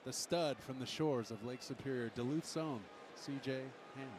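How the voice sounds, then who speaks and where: A man's voice: mid-range voice, normal speed, a little hiss or hum. A man giving colloquial, explanatory color commentary on a football broadcast. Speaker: color analyst on a game broadcast.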